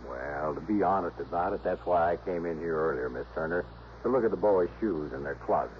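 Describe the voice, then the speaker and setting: Casting: actor for blunt male police sergeant, lowish voice, 1960s radio drama, dull lo-fi recording. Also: brisk, close to an everyday voice. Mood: explaining. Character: gruff, dogged local police sergeant. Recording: muffled old radio recording, faint noise.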